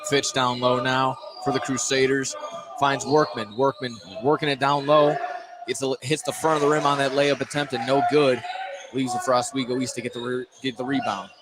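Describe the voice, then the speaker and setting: A man does live basketball play-by-play at a mid pitch, over clear background noise. He often trips over his words and corrects himself as he follows the action. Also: level tone, normal speed. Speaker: play-by-play sports announcer. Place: indoor basketball game, courtside broadcast.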